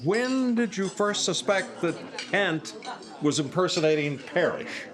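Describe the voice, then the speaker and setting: A man playing a brisk, informal police inspector in a live radio-style drama. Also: high-pitched, crowd noise. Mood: businesslike, curious. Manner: informal, animated. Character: brisk, gruff-talking male police inspector. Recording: noisy live stage recording.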